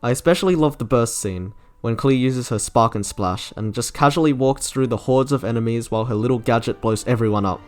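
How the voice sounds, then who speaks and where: A man's voice: mid-range voice, a little fast, few pauses, a little mellow. A man delivering scripted, enthusiastic review narration in an articulate, wordy way, like a video essayist. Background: music playing under the narration.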